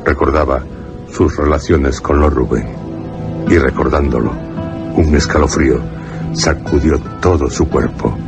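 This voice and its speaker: A man narrates a horror and suspense radio play in an extremely deep voice. His delivery is solemn and broken into phrases. Loud music plays underneath. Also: fairly even intonation, unhurried, pauses often.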